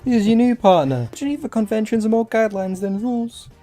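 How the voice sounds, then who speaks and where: A woman's voice: on the high side, animated, brisk, dark tone. A woman doing a comedic anime parody fan dub, crude and sweary, in casual slangy talk over music.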